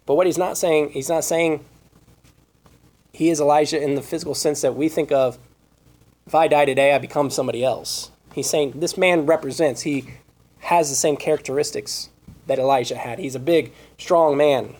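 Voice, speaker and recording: A man leads a scripture study in a sermon, his voice sitting a little high for a man. He reads verses aloud and poses rhetorical questions. His voice is wearing thin and turning hoarse. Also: fairly even intonation, moderate pace, a little mellow. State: losing his voice. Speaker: preacher.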